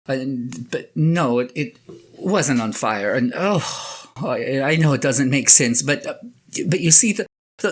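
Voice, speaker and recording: A woman playing a sheepish, excitable eighty-year-old shepherd husband in an audio drama monologue, stammering and halting as he talks to his wife. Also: a bass voice. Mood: flustered, earnest. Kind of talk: audio drama monologue, one side of a conversation.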